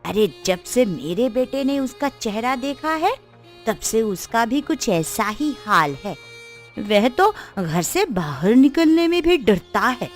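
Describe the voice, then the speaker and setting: A woman's voice: a little high, big pitch swings, slow. A woman playing an anxious, gossiping village mother in a cartoon story, dramatic and complaining. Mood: worried. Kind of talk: Hindi cartoon story dialogue. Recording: music under the voice. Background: music.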